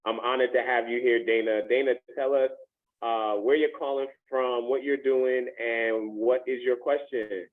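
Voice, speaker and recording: A man's voice: medium pitch, fairly even intonation, unhurried. Chatty male host on a tinny line. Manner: chatty, friendly, laughs while telling asides. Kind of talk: hosting a networking call.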